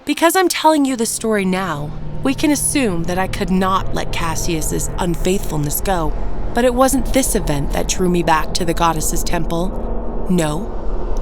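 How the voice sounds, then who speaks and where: A woman plays a wronged village wife recounting her dark fantasy story in the first person. Her voice sits fairly low, solemn and deliberate with a bitter edge, over clearly audible music. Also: natural voice. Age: middle-aged.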